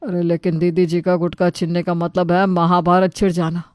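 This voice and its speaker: A woman's voice: fairly low pitch, flat delivery, moderate pace, darkish tone, clean tone, dry recording, clean studio sound, natural voice. A woman voicing an anxious middle-aged father in a storybook tale, earnest and fretful. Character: anxious middle-aged family man, timid before his sister.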